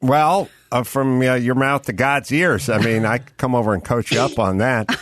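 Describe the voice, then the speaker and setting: A man's voice: medium pitch, steady tone, moderate pace, a bit bright, a little hiss or hum. A middle-aged man hosting a podcast, joking and rambling in casual chat. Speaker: podcast host.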